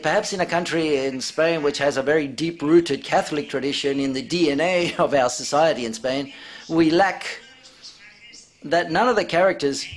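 A man asking formal, measured questions at a press conference. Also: on the high side.

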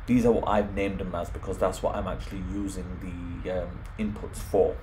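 A man's voice: deep voice, fairly even intonation, moderate pace, mellow, slight background noise. A man presenting an informal home automation tutorial, explaining things step by step. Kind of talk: informal software screen walkthrough.

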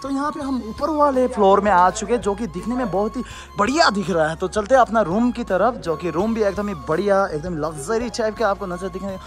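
A young man vlogging casually to camera, chatty and excitable, slightly hoarse from the cold. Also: high-pitched, fairly quick, over music. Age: young adult. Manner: excitable, chatty, addresses viewers as guys. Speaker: travel vlogger. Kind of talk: casual vlog chat to camera. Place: outdoors at night, hotel, car interior.

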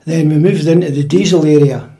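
Older Scottish man, mellow and matter-of-fact. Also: on the high side. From Scotland. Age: 70s. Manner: measured and matter-of-fact, with occasional restarts. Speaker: interview guest, retired drummer. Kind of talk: reminiscing in a relaxed home interview. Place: dining room in a private house.

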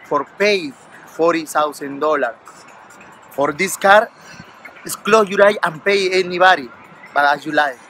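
A man's voice: a little high, a little slow, long pauses, bright, forceful tone, slight background noise, low-quality recording. A man in his thirties from Peru with a Peruvian Spanish accent, a valet talking eagerly in broken English on a city street. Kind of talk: street interview.

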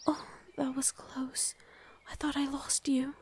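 A woman voicing a gentle, protective fae lady of the forest in an ASMR roleplay, soothing and often whispering. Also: sits high, little pitch movement, takes it slowly, slight background noise. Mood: soothing, apologetic.

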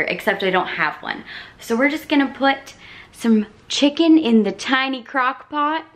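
A woman's voice: fairly high pitch, fairly bright tone. A young woman talking casually as she cooks in her home kitchen, chatting and teasing herself along the way. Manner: chatty, self-teasing, talks while cooking. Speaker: cooking vlogger. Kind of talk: casual cook-along chat. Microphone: camera on the counter, at some distance. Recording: echoey kitchen with faint room noise.

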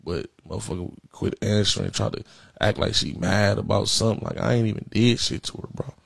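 A man's voice: lowish voice, brisk, vocal fry, dry recording. A man in his thirties on a prank phone call, talking in street slang with an insistent, repetitive, streetwise manner, close on a studio microphone. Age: 30s. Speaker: prank caller. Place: indoor streaming studio with backdrop. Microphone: close podcast microphone on a stand, headphones.

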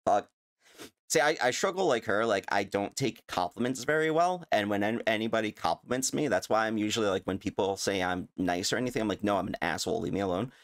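A man's voice: on the low side, quick pace. A middle-aged man streaming on a headset mic from his home setup, reacting in casual live chat, swearing freely and laughing easily.

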